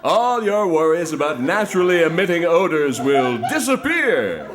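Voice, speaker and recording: A man playing a folksy, chipper old-time radio pitchman in a theatrical salesman's style, reading sponsor ads on a stage variety show. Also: very animated, moderate pace, background noise. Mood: mock-earnest selling.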